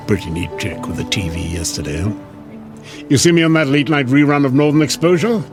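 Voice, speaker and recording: An actor playing a sardonic, chatty devil in an audio drama, theatrical and mocking, with a husky voice. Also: male voice, medium pitch, expressive, normal speed, music in the background, natural voice. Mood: breezy, needling. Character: sardonic, wheedling male devil, casual and mocking.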